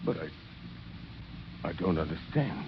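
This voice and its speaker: An actor playing a hungry, humble ex-convict traveler with halting, plain-spoken pleading in an old radio drama. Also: male voice, sits low, very animated, exaggerated voice. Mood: stunned, subdued. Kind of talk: 1930s radio drama acting. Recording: muffled, noisy vintage radio recording.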